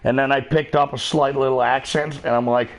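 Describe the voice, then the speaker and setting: A man's voice: medium pitch, fairly even intonation, fairly quick. A middle-aged man chatting casually while gaming, profane and rambling, laughing often. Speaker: streamer.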